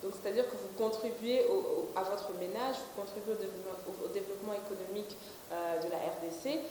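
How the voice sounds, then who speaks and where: A woman's voice: medium pitch, fairly even intonation, fast. Woman, twenties, company director; hesitant interview answers; roomy reverb. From Belgium. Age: 20s. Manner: hesitant, many fillers and restarts. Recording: echoey room with faint hiss.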